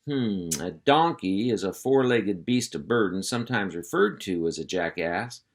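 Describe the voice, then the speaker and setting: A man's voice: on the low side, lively intonation, moderate pace. A man playing a boastful cow dog. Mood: lecturing. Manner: folksy drawl, hearty and lecturing. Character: boastful male ranch cow dog, folksy.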